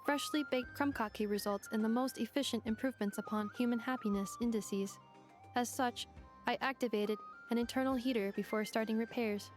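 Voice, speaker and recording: A woman as a polite, high-voiced robot girl. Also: faint music behind, lightly acted. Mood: polite, precise. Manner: measured, formal, clinical. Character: polite, precise young female robot assistant. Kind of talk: video game voice acting.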